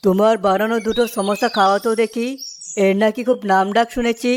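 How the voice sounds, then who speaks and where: A woman's voice: on the high side, steady tone, fast talker, slight background noise, close to an everyday voice. A woman voicing a wealthy, commanding father in a cartoon story. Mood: commanding, approving.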